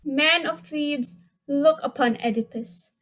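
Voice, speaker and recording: High-pitched, solemn tragic chorus played by a woman. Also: slow pace, loud music behind the voice. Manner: solemn, declamatory. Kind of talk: classical tragedy dramatic reading.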